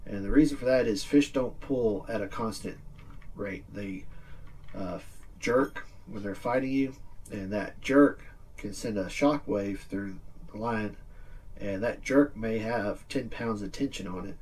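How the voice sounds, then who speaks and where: A middle-aged man with a lowish, rough-edged husky voice presents a how-to video. He explains things informally and plainly, like a hobbyist at a table. The microphone sits a little way off from him. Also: unhurried, darkish tone, recorded from a distance. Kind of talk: casual instructional demonstration. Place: small indoor room with green screen backdrop.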